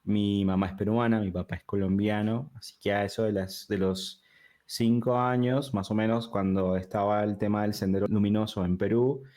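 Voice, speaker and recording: A man in his thirties, born in Peru, who talks with a River Plate Spanish accent, chatting as a guest in a relaxed, talkative way with many fillers and restarts. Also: fairly low pitch, moderate pace. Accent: Rioplatense Spanish. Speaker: guest, digital product designer. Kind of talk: casual interview conversation.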